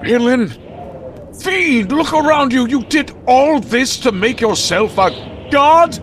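A man's voice: very high voice, animated, a little hiss or hum, full character voice. A man playing a grandiose, righteous comic-book vigilante in an old-time radio drama, speaking with pompous melodrama. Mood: outraged. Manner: pompous, melodramatic, drawn-out delivery.

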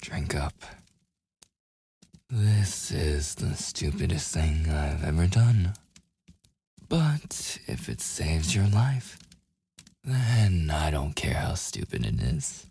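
Man voicing a brooding male werewolf, gravelly, heavily breathy, near-whispered, protective, grudgingly tender, commanding, intimate ASMR roleplay. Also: extremely low pitch, big pitch swings, dark tone, exaggerated voice. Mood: reluctantly tender. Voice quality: very husky, breathy, gravelly, often dropping to a whisper. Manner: slow, grudging, possessive, commanding. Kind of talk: boyfriend ASMR roleplay, intimate scripted acting.

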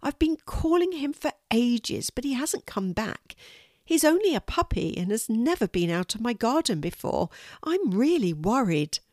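A woman's voice: a little high, sing-song, normal speed, darkish tone, dry recording, studio quality, voice pushed up. A woman voicing a worried, kindly lady in a storybook, with an anxious manner. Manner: anxious, fretful.

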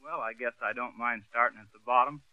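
An actor playing a shy, eager country delivery boy in a 1930s radio comedy, bashful and rushed. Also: mid-range voice, fairly even intonation, heavy background noise, close to an everyday voice. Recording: tinny old radio broadcast with music.

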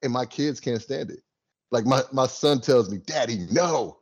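Laid-back guy cohosting a sports podcast, kinda raspy, dry jokes. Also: medium pitch, moderate pace. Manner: laid-back, wry, joking. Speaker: podcast co-host. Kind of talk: casual sports podcast chat.

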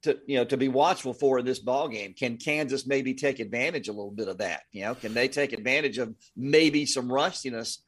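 A man's voice: mid-range voice, fairly quick, hardly any pauses, slightly rough. An American man, a sports announcer speaking as an interview guest, giving casual analysis.

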